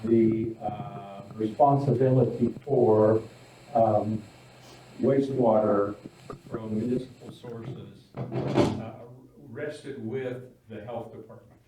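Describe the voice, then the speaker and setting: A man speaking up from the audience at a public meeting, slow and deliberate with fillers. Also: lowish voice, steady tone. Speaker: audience member. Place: meeting room. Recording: distant, echoey, faint room noise.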